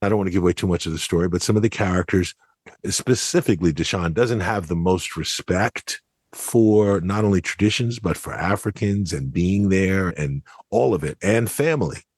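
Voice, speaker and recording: A man co-hosting a podcast, giving conversational analysis in a measured, explanatory way. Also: low-pitched, darkish tone, husky, dry recording, clean studio sound. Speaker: podcast co-host.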